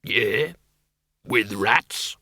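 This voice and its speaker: A man voicing a huge hairy bogeyman from a storybook, friendly and a little slow-witted, talking in an easygoing, amiable way. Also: lowish voice, lively intonation, sharp tone, no room sound, clean studio sound, exaggerated voice.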